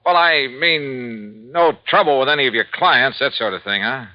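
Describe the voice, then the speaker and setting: An actor playing a wry, dry-humored insurance investigator who also narrates, in a 1950s radio drama. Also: male voice, mid-range voice, normal speed, no echo, close to an everyday voice. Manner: wry, dry, hard-boiled. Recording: dull lo-fi 1950s radio recording with faint music.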